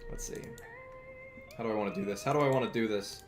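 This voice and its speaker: A man's voice: mid-range voice, wildly swooping pitch, quick pace. Young man rambling on an art stream. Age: 20s. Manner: rambling, frequent fillers and restarts, chuckles often. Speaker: streamer animating live. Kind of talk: casual live-stream chat while drawing. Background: faint background music.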